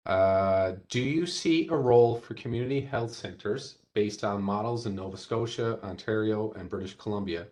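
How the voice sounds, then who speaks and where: Guy with a really low voice, calm, reading out audience questions. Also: normal speed, hardly any pauses. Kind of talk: hosting a webinar Q&A.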